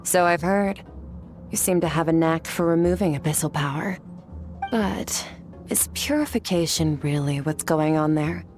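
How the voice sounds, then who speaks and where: An actress playing a cool, aloof female swordmaster who trains her student in a calm, measured, matter-of-fact way in a game. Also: low voice, faint music behind, natural voice. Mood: composed. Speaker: combat trainer.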